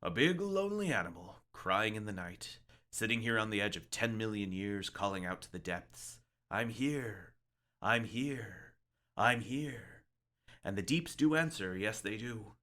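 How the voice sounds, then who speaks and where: Man voicing a brooding, folksy old lighthouse keeper, sharp-edged. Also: fairly low pitch, expressive, measured pace. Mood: brooding, mournful. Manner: folksy, ominous, musing. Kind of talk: dramatic short-story reading.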